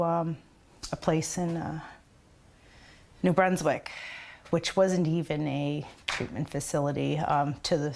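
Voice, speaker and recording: A woman recounts things off the cuff in a documentary interview. Her voice is a little low and her phrasing halts and picks up again. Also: vocal fry, darkish tone. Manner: halting, frequent "you know". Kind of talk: unscripted personal account.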